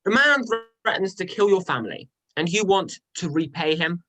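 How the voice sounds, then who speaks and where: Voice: medium pitch, very animated, moderate pace, pushed and bright. An amateur actor playing a mocking male trickster god with dry sarcasm in a myth play reading.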